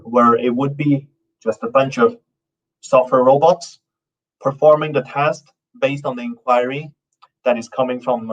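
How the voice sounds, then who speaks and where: A man presents his company at a conference, mid-pitched and halting, with plenty of fillers and restarts. His voice sounds set back from the microphone. The recording has a dull, muffled tone. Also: recorded from a distance. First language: not Norwegian. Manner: loose, halting delivery with many fillers and restarts. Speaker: conference speaker, company founder. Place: conference stage.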